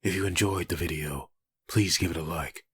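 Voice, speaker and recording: A man's voice: low-pitched, normal speed, fairly bright tone, slight background noise. A man giving a scripted sign-off as the narrator of a video channel.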